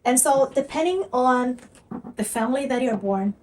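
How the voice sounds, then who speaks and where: A woman's voice: high voice, expressive, normal speed, darkish tone. Brazilian woman coaching, slightly distant mic. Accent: Brazilian Portuguese. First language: Portuguese. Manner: earnest, repetitive, emphatic. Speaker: manifestation coach. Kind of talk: teaching monologue to camera.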